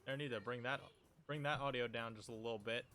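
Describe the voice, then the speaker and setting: A man's voice: mid-range voice, steady tone, quick pace, sharp tone, soft background music. A man streaming a video game from home on a desk mic, chatting casually and rambling with fillers and little jokes. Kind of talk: casual live-stream chat. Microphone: desk microphone.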